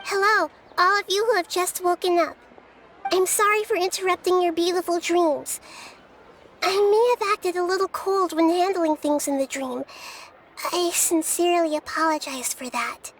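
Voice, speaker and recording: A woman voicing a small, wise, gentle goddess who speaks in a measured, formal way in an anime game. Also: extremely high pitch, steady tone, slight background noise. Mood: gentle, sincere. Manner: measured, soft-spoken, formal. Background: background music.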